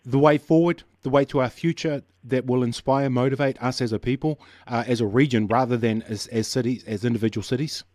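A man's voice: mid-range voice, steady tone, fairly quick, few pauses, a little mellow, no echo. Male radio host, thoughtful questioner, dry studio mic. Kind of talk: radio interview.

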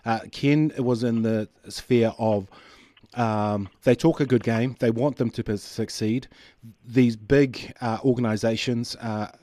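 A male radio host talks in a measured, reflective way, often pausing with fillers. The studio sound is dry and close. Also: mid-range voice, fairly even intonation, warm tone, no room sound. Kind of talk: radio interview.

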